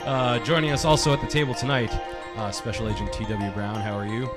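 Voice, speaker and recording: A man's voice: on the low side, steady tone, a little fast. A man hosting a podcast, casually introducing guests and asking questions. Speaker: podcast host. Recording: guitar music over the voice. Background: music.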